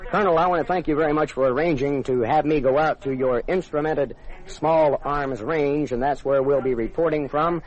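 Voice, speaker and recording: A man's voice: a little high, moderate pace. An American man conducting a polite, formal radio interview as a military reporter, with deferential manners. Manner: courteous, deferential. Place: on location at an army base. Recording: slightly dull lo-fi field radio recording.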